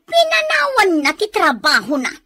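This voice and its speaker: A woman's voice: extremely high pitch, wildly swooping pitch, normal speed, pushed and bright, no echo, heavily acted. A woman playing a bossy, resentful older sister in an Ilocano radio drama, scolding sharply with an Ilocano accent.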